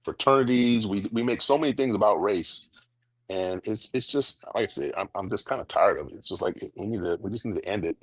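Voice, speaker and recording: A man's voice: fairly low pitch, fairly quick, husky, no echo. A man from the United States hosting a casual discussion, making his points emphatically and often repeating words as he builds them. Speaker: podcast host.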